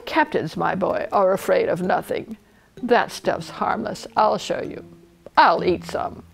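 A woman's voice: fairly low pitch, measured pace, gravelly. A woman voicing a pompous, carefree male captain of the guards, blithe and boastful, in a storybook reading.

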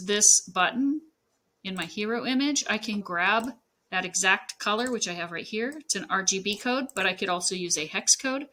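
A woman narrating a screen-share software tutorial, explaining things step by step. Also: mid-range voice.

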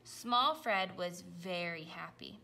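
A woman reading a children's picture book aloud, with careful, expressive delivery. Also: lowish voice, takes it slowly, gravelly. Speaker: storybook reader.

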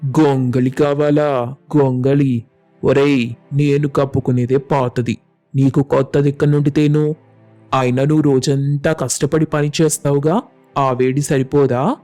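A man's voice: medium pitch, level tone, unhurried, warm tone, soft background music, lightly acted. A man playing a stingy, irritable village farmer in a moral story, complaining and scornful. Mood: irritated, scornful. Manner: scornful, complaining, rhetorical questions. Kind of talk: animated storybook dialogue.

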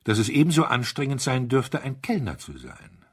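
A man voicing a mild, thoughtful priest detective in a quiet, firm manner for an audiobook. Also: mid-range voice, huge pitch swings, moderate pace, husky. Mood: calm, reflective.

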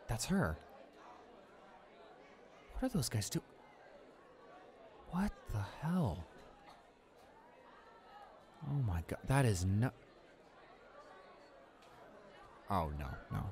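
A young man playing a cocky school bully with a hidden soft spot in an audio roleplay, brash and halting as he talks. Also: lowish voice, exaggerated voice. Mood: alarmed. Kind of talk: dramatic audio roleplay, monologue.

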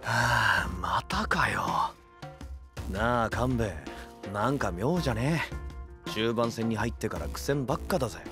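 An actor playing a lazy, sullen, slangy young warrior in an anime voice drama. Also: male voice, medium pitch, animated, a little slow, over music. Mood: grumbling. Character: lazy, grumbling young delinquent-style warrior.

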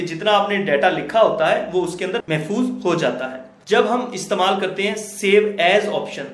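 A man giving a screen-recorded software lesson, explaining each step slowly and often checking in with his viewers. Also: sits high. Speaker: computer tutor. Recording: echoey room.

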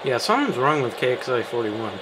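Man, casual vlog commentary, halting and puzzled, handheld camera mic, heavy noise, indoors. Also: medium pitch, big pitch swings. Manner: puzzled, halting, thinking aloud. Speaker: vlogger, weather radio hobbyist. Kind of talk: casual off-the-cuff commentary while demonstrating gadgets. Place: small room indoors. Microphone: handheld camera microphone. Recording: very noisy camera audio, radio static.

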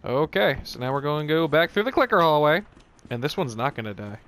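A man's voice: a little high, big pitch swings, fairly quick, fairly bright tone. A man giving casual, joking, profane commentary as he plays a video game, sometimes in mock-ASMR whispers. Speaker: video game player and host.

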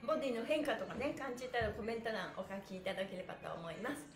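A woman with a very high voice leads a stretch class in an echoey room. She is cheerful and encouraging, giving playful instructions and rhythmic chants as she coaches. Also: expressive, racing, music in the background. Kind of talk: live-streamed exercise lesson with rhythmic chanted counting.

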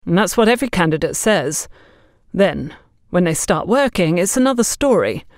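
A woman voicing a stern, frank middle-aged businesswoman in an audiobook, brisk and no-nonsense. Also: fairly low pitch, big pitch swings, dry recording, clean studio sound. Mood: stern, skeptical.

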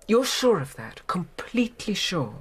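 A woman's voice: mid-range voice, big pitch swings, a little slow, breathy edge, exaggerated voice. An actress playing a grave, controlled, grieving mother in a radio drama, clipped and formal. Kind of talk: BBC radio drama acting.

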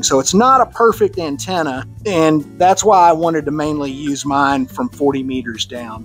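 A man with a Texan accent talks through a hands-on build in a loose, friendly way, fillers and restarts and all. His voice sits a little high for a man. Faint music runs underneath. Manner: relaxed, conversational, with fillers and restarts. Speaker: club presenter on radio antennas. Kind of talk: casual technical presentation. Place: club meeting room.

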